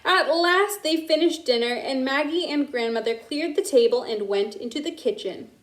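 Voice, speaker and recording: Woman, children's story reader, animated, high-pitched, unhurried, simple explanations, roomy echo. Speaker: librarian hosting children's story time. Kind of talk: reading a picture book aloud, pausing to explain to children. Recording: echoey room.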